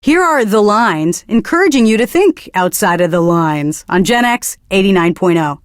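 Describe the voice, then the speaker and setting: A woman's voice: medium pitch, lively intonation, normal speed, dry recording, clean studio sound, lightly acted. An actress playing a bookish, pretentious young female alternative radio DJ in a video game, delivering earnest literary patter.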